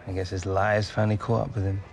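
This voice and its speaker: Low, creaky young British footballer. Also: male voice, little pitch movement, fast, faint music behind. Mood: bitter, sceptical. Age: young adult. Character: guarded young male professional footballer. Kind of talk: video game cutscene acting, naturalistic dialogue.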